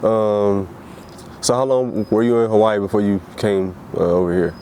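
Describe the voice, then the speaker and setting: Low-voiced man hosting a casual interview. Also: steady tone, warm tone, a little hiss or hum. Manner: loose and halting, with frequent restarts and fillers. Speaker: interview host.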